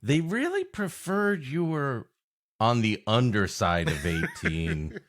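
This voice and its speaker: A man's voice: mid-range voice, very animated, slow pace, fairly bright tone. A man from the United States co-hosting a podcast, improvising comic banter in a dry, sardonic way. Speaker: podcast co-host.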